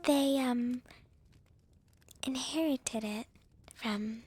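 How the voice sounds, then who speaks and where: Young female vampire with a crush, acted by a woman: higher-pitched, unhurried, whispery ASMR roleplay, soft, shy and playful. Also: heavily acted. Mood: soft, hesitant. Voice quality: breathy, whispery.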